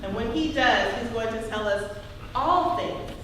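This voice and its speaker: Lady playing excitable earnest bible-times woman, high voice, theatrical church play, super echoey hall. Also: a little slow. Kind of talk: live church dramatization. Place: church hall, live stage performance. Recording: distant echoey hall, faint noise.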